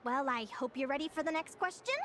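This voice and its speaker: A woman's voice: high voice, fast, heavy background noise, natural voice. A woman playing a cheerful young adventuring advisor in a video game, bubbly, encouraging and instructive. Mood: lively, instructive. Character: Linnea, cheerful young female adventuring advisor quizzing pupils. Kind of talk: animated video game voice acting.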